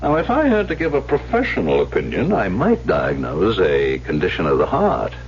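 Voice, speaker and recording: A kindly, formal doctor from an old-time radio play, with very animated, swooping intonation and a gently teasing politeness. The recording is dull and vintage. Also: male voice, low-pitched, moderate pace, slight background noise, exaggerated voice. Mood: genial. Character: courteous, kindly male doctor, a psychiatrist employer.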